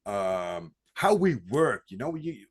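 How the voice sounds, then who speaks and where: Guy hosting a podcast, lots of uh and restarts, mid pitch. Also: big pitch swings, slow pace, husky. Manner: hesitant, many restarts and fillers. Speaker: podcast host. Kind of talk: casual podcast chat.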